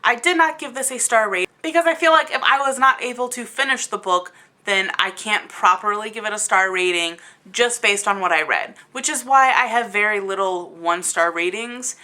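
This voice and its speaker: A woman's voice: mid-range voice, sharp tone. A woman giving a casual, rambling video review in an exasperated, emphatic and incredulous manner. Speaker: book review vlogger.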